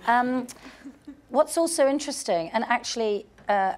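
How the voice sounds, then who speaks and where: A woman's voice: fairly high pitch, animated, normal speed. A woman with a British accent moderating a panel, speaking in a friendly, loose way with fillers.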